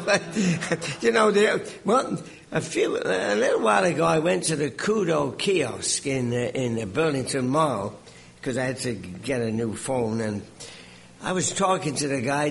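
An older man preaching a sermon in an English accent mixed with a Canadian one, telling stories with animated exclamations and repeated words. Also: a little high, gravelly.

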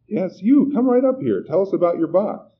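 A man voicing a bright, smiling young male emcee in a storybook reading, upbeat and encouraging with folksy presenter patter. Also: mid-range voice, very animated, brisk, pitched above the performer's own voice, lightly acted. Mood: coaxing, soothing. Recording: muffled recording with background noise.

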